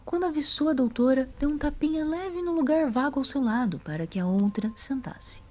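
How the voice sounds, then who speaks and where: A woman narrates a scripted story with highly varied intonation. Her tone is mellow and relaxed at a middle pitch, with faint noise in the recording. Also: brisk.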